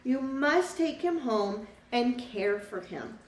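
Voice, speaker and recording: A woman's voice: fairly high pitch, animated, slow pace. A woman voicing an earnest talking cardinal bird pleading for help in a children's storybook. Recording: roomy echo, distant mic, faint background noise.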